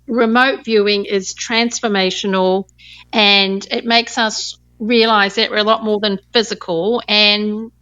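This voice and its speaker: Bright-voiced New Zealand woman asking. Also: fairly high pitch, clean tone, dull audio. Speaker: audience member asking a question.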